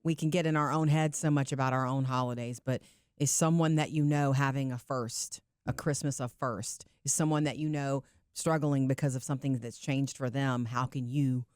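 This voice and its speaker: Warm, reflective woman podcast co-host. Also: a bass voice, steady tone, moderate pace, clear, resonant tone, dry recording, clean studio sound. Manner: warm, reflective, laughs easily. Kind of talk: casual chat, reading listener letters aloud.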